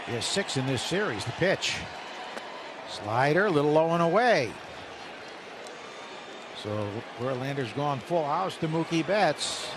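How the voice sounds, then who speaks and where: A man calling baseball play-by-play on radio, brisk and rising for big plays. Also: on the high side, animated, noisy background. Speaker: play-by-play announcer.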